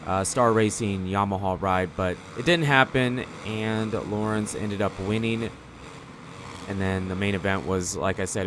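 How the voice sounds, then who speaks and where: A man's voice: fairly low pitch, a little hiss or hum. A man giving casual gameplay commentary in a rambling, conversational, slangy way. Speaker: gaming video commentator.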